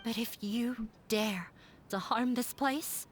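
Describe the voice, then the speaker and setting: An actress voicing an earnest young woman who speaks formally and calmly as she stands up to her scholarly father in a video game. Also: a little high, slow pace, a little hiss or hum. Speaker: game character. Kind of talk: game voice acting.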